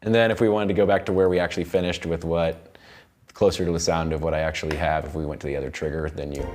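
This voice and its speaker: A man's voice: deep voice, flat delivery, fast, darkish tone. An American man in his thirties giving a casual, loosely phrased live tutorial as a music production instructor. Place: indoor recording studio control room.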